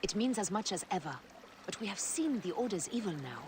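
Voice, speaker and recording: A woman's voice: medium pitch, big pitch swings, brisk, noisy background, lightly acted. Woman acting a solemn, resolute Egyptian wife. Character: resolute, grieving Egyptian wife and mother serving her queen. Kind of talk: dramatic video game acting.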